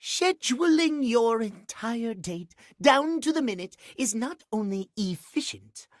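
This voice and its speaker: A man's voice: very high-pitched, expressive, slow pace, no room sound, clean studio sound, exaggerated voice. A male actor voicing a fussy, romantic timepiece in a video game, with formal, theatrical, precise diction. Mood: flirtatious, prim. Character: fussy, prim, schedule-obsessed male timepiece, romantic dandy. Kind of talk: video game character acting.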